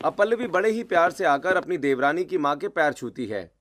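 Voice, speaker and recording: A man's voice: fairly high pitch, expressive, fairly quick, a bit bright, slight background noise. A man narrating a scripted moral story in a storyteller's role.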